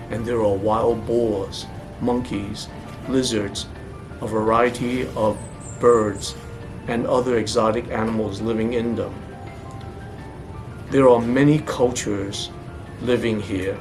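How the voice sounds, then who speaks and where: A man reading scripted travel narration in a deliberate, explanatory way. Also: medium pitch, poor audio. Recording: voice over clear background music. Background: music.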